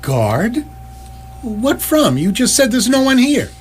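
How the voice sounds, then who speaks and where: A put-upon Earthman played by a woman talks slowly with big swings of pitch. The character is indignant and sarcastic, in a staged radio play. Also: sing-song, slight background noise. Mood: aggrieved. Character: anxious, aggrieved, sarcastic Earthman tagging along. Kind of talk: live radio theatre acting.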